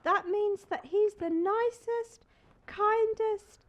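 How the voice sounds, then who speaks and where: A woman's voice: very high voice, takes it slowly, lo-fi sound. A woman voicing a shy, sweet childlike puppet girl who speaks hesitantly and earnestly. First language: not Arabic. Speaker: co-host.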